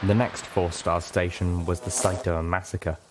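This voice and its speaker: A man's voice: deep voice, little pitch movement, normal speed, background noise. A man reading a scripted first-person story as an audiobook narrator.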